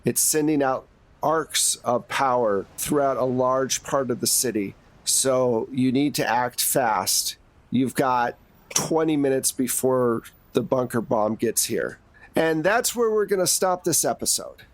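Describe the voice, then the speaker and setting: A male game master with a medium-pitched voice, recorded close and dry. He narrates an improvised tabletop game in a conversational way and addresses players as host. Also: animated, a little slow, no echo.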